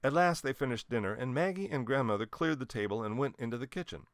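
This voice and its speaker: A man narrates a children's story from a script. His mid-pitched voice is highly animated, with expressive, dramatic emphasis. The sound is dry. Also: quick pace, no echo. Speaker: storybook reader.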